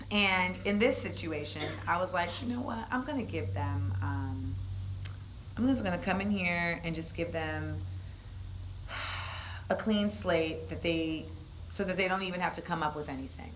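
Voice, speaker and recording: A woman in her thirties with a deep voice, giving a comedic storytelling talk at a university event with lots of asides. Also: unhurried, long pauses, slight background noise, poor audio. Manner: animated, comedic, conversational with asides. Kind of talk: storytelling talk to a live audience.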